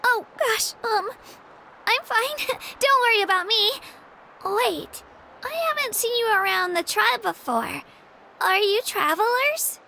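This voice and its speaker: A woman's voice: very high voice, lively intonation, slow, vocal fry, pushed and bright, slight background noise, full character voice. A woman voicing an earnest, humble young girl warrior in a video game, girlish and bubbly but hesitant. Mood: welcoming, eager. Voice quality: girlish, youthful. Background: background music.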